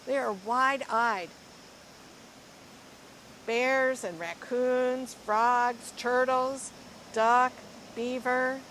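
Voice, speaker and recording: A woman reading a picture book aloud to young children, with expressive, deliberate and dramatic phrasing. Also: fairly high pitch, a little hiss or hum. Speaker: school librarian reading story time.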